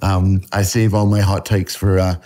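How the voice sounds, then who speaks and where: Canadian guy in his 50s w a bit of scottish creeping in, deep mellow voice, rambly, lots of ums, on a video call. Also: level tone, normal speed. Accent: Canadian with a slight Scottish tinge. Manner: rambling, many fillers and restarts, laughs often. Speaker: guest artist. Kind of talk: casual interview chat. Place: home room at a desk. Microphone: video call webcam.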